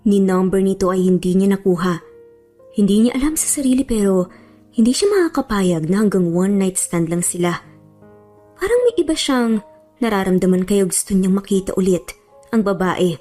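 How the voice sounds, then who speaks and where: Woman telling a romance story, chatty and colloquial, soft mellow tone, faint music behind. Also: medium pitch, lively intonation, moderate pace. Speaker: storyteller narrator. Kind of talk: scripted romance story narration.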